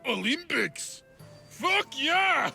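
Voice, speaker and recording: An actor playing a boastful, dim-witted male wrestling champion who talks with gravelly, slurring bluster in a video game cutscene. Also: very high voice, big pitch swings, very slow, bright, forceful tone, faint music behind, exaggerated voice. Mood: boisterous.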